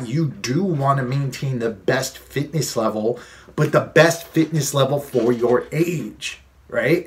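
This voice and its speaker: Earnest man in his late thirties. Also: medium pitch. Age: 30s. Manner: earnest, conversational, repeats phrases for emphasis. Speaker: fitness coach and vlog host. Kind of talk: casual advice vlog.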